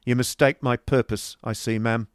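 A man doing a blunt, forceful stern gentleman. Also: medium pitch, brisk, clean tone, dry recording, clean studio sound, voice pushed up, natural voice. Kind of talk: audiobook character voice.

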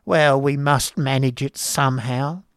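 A man voicing a cold, sharp-tongued middle-aged woman who talks slowly with tart retorts, in a storybook reading. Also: medium pitch, fairly even intonation, dry recording, pitched above the performer's own voice, lightly acted.

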